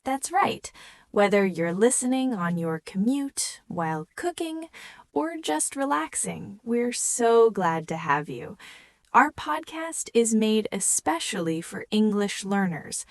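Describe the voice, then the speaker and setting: Slow, clear woman co-host. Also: medium pitch, sing-song, no echo. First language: German. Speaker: podcast co-host. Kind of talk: scripted learner podcast chat.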